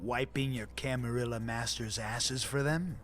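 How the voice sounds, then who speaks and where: An actor playing a menacing male vampire gang brute in a video game, with a mocking, drawn-out delivery that slips toward whispers. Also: mid-range voice, steady tone, fairly bright tone, soft background music, natural voice. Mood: sneering, threatening.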